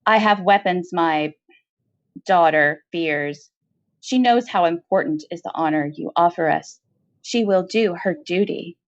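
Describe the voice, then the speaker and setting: A woman's voice: fairly low pitch, a little slow, muffled recording. A woman voicing a proud, coolly defiant older female doctor in a storybook reading, terse, formal and stiff. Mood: stony defiance.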